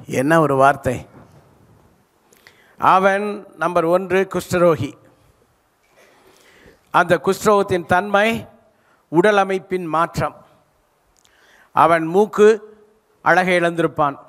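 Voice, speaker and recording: A man's voice: high voice, a bit bright. An older male pastor preaching a sermon into a handheld microphone, stretching key words and calling for answers from the congregation. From South India. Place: large church stage. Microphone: handheld mic. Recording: live church hall reverb.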